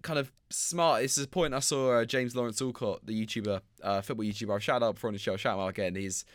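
A man's voice: mid-range voice, fast, no echo, clean studio sound. A man co-hosting a casual football chat show, talking loosely with lots of "kind of" and "you know".

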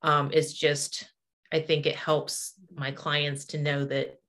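A woman's voice: deep voice, flat delivery, slow, fairly bright tone. A woman in her forties talking casually and explaining her practice as an energy healer. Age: 40s.

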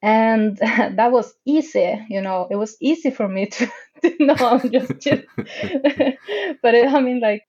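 Woman guest from northern Norway, slow, hesitant and self-mocking, muffled recording. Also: a little high, darkish tone, breathy edge. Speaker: podcast guest. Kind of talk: casual interview chat.

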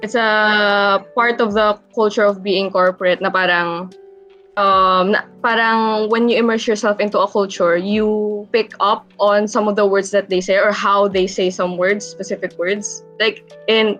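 A woman's voice: medium pitch, flat delivery, unhurried, fairly bright tone, dull audio, soft background music. A woman from Batangas with a Filipino accent, co-hosting a casual podcast and easily switching between Tagalog and English. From Batangas, Philippines. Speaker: podcast co-host. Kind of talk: casual chat with an outro.

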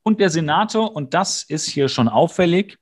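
Higher-pitched man recapping casually. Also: lively intonation, moderate pace. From Hesse, Germany. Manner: jokey, wry asides, mimics characters. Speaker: podcast co-host. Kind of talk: casual comedic episode recap.

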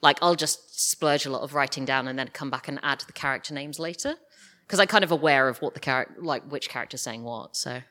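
A woman's voice: a bass voice, a little fast, fairly bright tone. A woman speaking as a writer guest in a live interview, explaining things in a casual, rambling way. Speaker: guest, audio drama writer. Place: live podcast festival stage.

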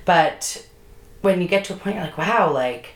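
A woman with a notably deep voice hosts a relaxed podcast chat, asking curious questions. She talks casually and laughs easily. The room adds a noticeable echo. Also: normal speed. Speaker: podcast host.

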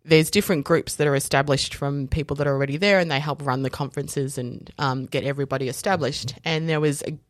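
A woman's voice: sits low, fast, few pauses, dry recording, studio quality. A woman, a podcast guest telling stories in casual conversation, full of fillers and self-corrections. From South Africa, raised in Australia. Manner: animated storytelling, acts out other people's lines.

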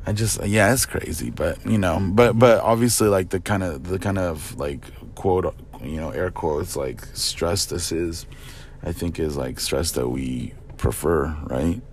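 Low-voiced young man, halting casual chat. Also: slight background noise. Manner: halting, many fillers, excitable. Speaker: content creator and host.

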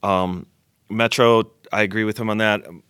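A man's voice: on the low side, fairly even intonation, moderate pace, a bit bright, smooth, dry recording, studio quality. A man who works as a chef, chatting enthusiastically on a podcast and often repeating words as he starts a sentence. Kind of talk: casual podcast chat.

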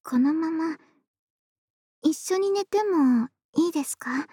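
A woman playing an affectionate, polite young neighbor in an ASMR voice drama, speaking in a soft, intimate, gentle murmur close to the mic. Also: very high-pitched, expressive, slow, dry recording, full character voice. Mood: shy, tender, intimate. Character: affectionate, polite young adult woman next door, tipsy and doting. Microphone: close dry studio microphone.

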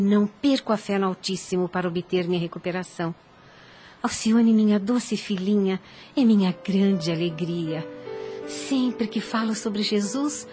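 A woman's voice: mid-range voice, dark tone, natural voice. An actress playing a devout, dignified young widowed mother in a radio soap opera, speaking formal Portuguese with a Brazilian accent in an earnest way. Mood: tender, reflective. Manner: formal, earnest, literary diction.

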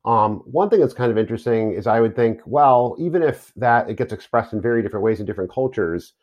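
A man's voice: medium pitch, quick pace. A man thinking aloud in a casual academic discussion, musing in a conversational way. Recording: dull lo-fi audio.

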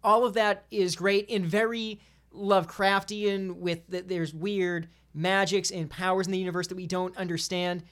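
Male podcast guest with a fairly high voice, informal, academic enthusiast in casual conversation. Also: steady tone, normal speed, a bit bright, clean tone. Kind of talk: casual chat.

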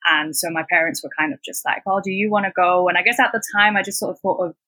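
A young woman from Hong Kong whose first language is Cantonese, talking as a podcast guest. Her voice is bright with a slightly husky edge, and it sounds dry and close. She chats loosely, leaning on "like" as a filler and laughing softly between points. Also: on the low side, quick pace, no echo. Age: 20s. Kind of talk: casual conversational interview.

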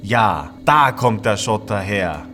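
An actor playing a domineering questioner in an audio drama, speaking emphatically and with formal insistence. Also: male voice, fairly low pitch, lively intonation, slow pace, pushed and bright, soft background music, full character voice. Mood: triumphant. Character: insistent, domineering male questioner, demanding then exultant. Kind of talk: theatrical audio drama dialogue.

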